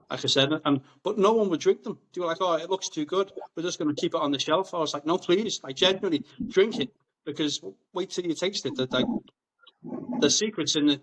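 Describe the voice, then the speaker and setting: Man, podcast guest, upbeat and rambling, voice a touch high. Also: a bit bright, poor audio. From Liverpool, England. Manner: enthusiastic, repeats words and restarts often. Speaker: guest, rum brand founder. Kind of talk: casual podcast conversation.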